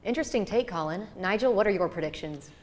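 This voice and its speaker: A woman's voice: medium pitch, lively intonation, fast. A young woman anchoring a student sports news show, with a polished, upbeat delivery as she reads scripted links. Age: young adult.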